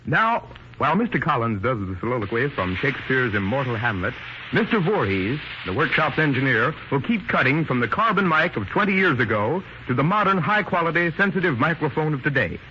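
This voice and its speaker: A man's voice: medium pitch, sing-song, brisk, sharp tone, a little hiss or hum. A man presenting a radio demonstration in a formal, showman-like 1930s announcing style. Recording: dull lo-fi 1930s radio recording.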